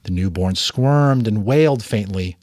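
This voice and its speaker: A man narrating a novel aloud as a dramatic, expressive reading. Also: lowish voice, unhurried, no room sound, clean studio sound. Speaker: audiobook narrator and voice actor. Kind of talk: dramatic story reading with asides.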